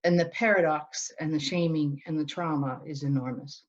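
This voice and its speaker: A woman's voice: deep voice, normal speed. A middle-aged woman from Northern Ireland, telling her story earnestly as an interview guest. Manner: earnest, storytelling, many restarts. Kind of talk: conversational interview. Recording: dull, lo-fi.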